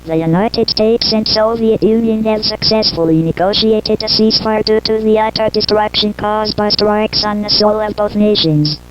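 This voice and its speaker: A woman's voice: mid-range voice, normal speed, hardly any pauses. A synthetic female voice reading a formal, scripted breaking news bulletin as an announcer. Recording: muffled lo-fi broadcast with hum and noise.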